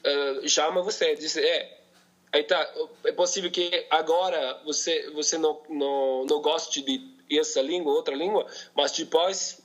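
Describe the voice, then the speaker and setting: Homem com sotaque colombiano, hesitante. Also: male voice, on the high side, fairly even intonation, fairly bright tone, lo-fi sound. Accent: Colombian Spanish, from Bogotá. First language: Spanish. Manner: halting, searching for words, many restarts. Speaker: podcast guest, polyglot. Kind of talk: casual conversation in a second language.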